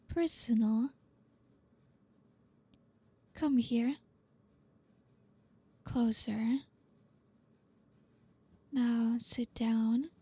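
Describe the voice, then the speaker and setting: A woman playing a dominant, flirty boss in an ASMR roleplay, speaking softly and breathily with teasing, deliberate command, close to the microphone. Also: a little high, no echo, exaggerated voice. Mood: coolly persuasive. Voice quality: breathy, soft. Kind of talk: ASMR roleplay, close-mic soft speech. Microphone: close microphone, dry.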